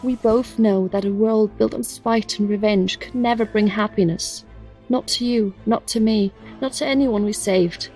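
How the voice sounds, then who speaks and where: A woman's voice: mid-range voice, moderate pace, mellow, lightly acted, rough recording. A woman playing a loving, resolute young heroine in an audio drama, speaking with earnest emotion. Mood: pleading. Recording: music under the voice.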